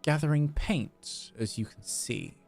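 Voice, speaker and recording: A man acts out an aloof male villain from a fantasy game. He talks slowly and eloquently, full of scorn, with a gravelly edge. Faint music sits behind him. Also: on the high side, a little mellow, pitched above the performer's own voice. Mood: disdainful. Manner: haughty, formal, eloquent.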